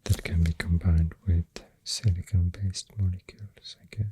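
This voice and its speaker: An ASMR creator with a Swedish accent, speaking very softly and often near a whisper, thinking aloud hesitantly into a close microphone. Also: sits low, fairly even intonation, moderate pace, slight background noise. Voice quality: very soft, breathy, often dropping to a whisper. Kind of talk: soft-spoken ASMR, rambling science facts.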